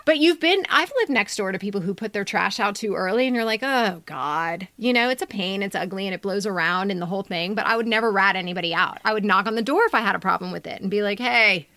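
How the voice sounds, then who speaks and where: A woman's voice: medium pitch, fast, hardly any pauses, sharp tone, no echo. A woman co-hosting a podcast, talking with enthusiasm in a studio as she gives commentary. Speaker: podcast co-host. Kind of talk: casual discussion and commentary. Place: recording studio.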